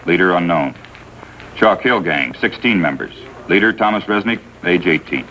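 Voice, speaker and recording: A man's voice: deep voice, animated, moderate pace, sharp tone. A man playing a matter-of-fact police officer in a 1950s TV drama, clipped and factual, with an American accent. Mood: matter-of-fact briefing. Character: brisk, matter-of-fact male juvenile division police officer. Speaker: supporting actor. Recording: faintly noisy vintage TV soundtrack.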